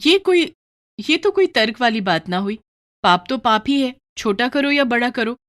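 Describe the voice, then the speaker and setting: A woman's voice: on the high side, expressive, moderate pace, fairly bright tone, voice pushed up. A woman voicing a pious, worried wife in a cartoon moral story, earnest, moralizing and pleading. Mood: reproachful.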